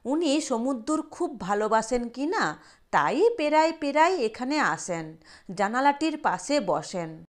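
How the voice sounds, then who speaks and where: A woman's voice: on the high side, big pitch swings, a little slow. A woman reading a literary short story aloud as its narrator.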